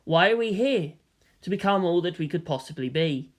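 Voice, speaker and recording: An alien hive mind, performed by a story reader declaims with religious fervor. Its chanting is rhetorical and ecstatic, with wildly moving pitch, in a dramatic fiction reading. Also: animated, normal speed, natural voice. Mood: solemn fervor.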